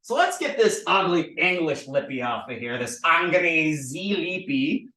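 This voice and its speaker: A man teaching at a board, animated and drilling sounds by repetition. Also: a little high, measured pace, reverberant, recorded from a distance. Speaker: language teacher. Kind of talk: recorded board lesson. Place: indoor room with a board.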